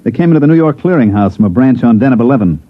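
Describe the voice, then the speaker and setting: A man's voice: mid-range voice, lively intonation, very fast, lightly acted. An actor playing a wry, weary government investigator who narrates his case in hard-boiled 1950s radio drama style. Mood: dry, matter-of-fact. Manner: wry, dry wisecracking. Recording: muffled old radio recording, music behind.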